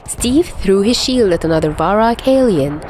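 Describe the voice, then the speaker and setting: A woman's voice: medium pitch, expressive, moderate pace, mellow, slight background noise. A woman reading scripted fan fiction narration as a storyteller. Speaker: podfic narrator.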